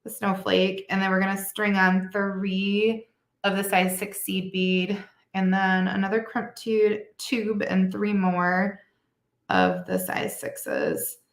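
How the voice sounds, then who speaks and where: A woman's voice: medium pitch, slow pace, reverberant. A woman from Michigan teaching a live beading tutorial, a bit congested and nasal, chatty, correcting herself and laughing lightly. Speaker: beading instructor.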